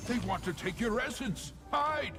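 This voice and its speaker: A man playing a gentle, whimsical nature spirit in a video game, speaking theatrically and affectionately to forest creatures. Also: very high-pitched, lively intonation, measured pace, over music. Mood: urgent, protective. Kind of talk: video game character voice lines.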